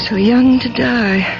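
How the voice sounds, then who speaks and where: A young woman full of awe in a seventies radio mystery. She speaks extremely slowly in a rather high voice. Music plays behind, and the broadcast is dull. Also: vocal fry, heavily acted. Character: awed, impressionable twenty-four-year-old young woman. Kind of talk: 1970s radio drama acting.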